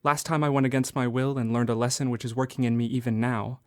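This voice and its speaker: A man plays an old miser in a radio play, humbled and earnest, with a mid-pitched voice. He pleads softly with the spirit. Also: flat delivery, fast talker, lightly acted. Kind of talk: student radio drama acting.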